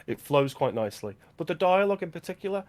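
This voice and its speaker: A man's voice: a little high, very animated. A man co-hosting a film podcast, agreeing with his partner and adding short opinions in casual chat. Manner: quick agreeing interjections, offers short opinions. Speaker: podcast co-host.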